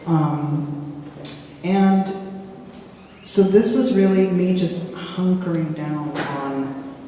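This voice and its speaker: A woman filmmaker speaking casually in a public discussion, halting and searching for words with frequent restarts. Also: on the low side, warm tone. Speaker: filmmaker in a post-screening discussion. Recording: echoey hall from far off, faint hiss.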